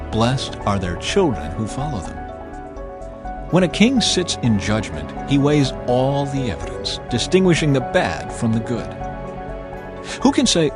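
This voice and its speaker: A man reading scripture as scripted dramatized narration, in a measured, emphatic and expressive way. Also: medium pitch. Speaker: audiobook Bible narrator.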